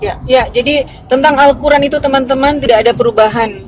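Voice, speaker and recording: A woman's voice: sits high, fast talker, music in the background. A woman hosting a live stream, explaining at length in a casual way with restarts and fillers, talking to viewers from the road. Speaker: host of a live religious debate stream.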